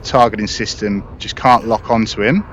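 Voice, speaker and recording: Low-voiced man, casual gameplay commentary. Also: fairly even intonation, moderate pace, fairly bright tone. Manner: explanatory, informal. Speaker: gaming content creator. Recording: dull mic with background noise. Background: spaceship game sound.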